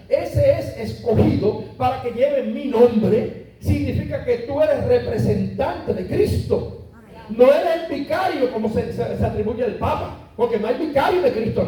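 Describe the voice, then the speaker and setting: A man with a very high, mellow voice preaches to his congregation, drawing out key words and rising in sudden emphatic bursts. He is picked up from a distance in a church, with a lot of echo around him. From Puerto Rico. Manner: preaching with emphatic rises and slowed, stressed words. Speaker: pastor. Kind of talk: sermon. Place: church sanctuary. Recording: echoey church heard from a distance.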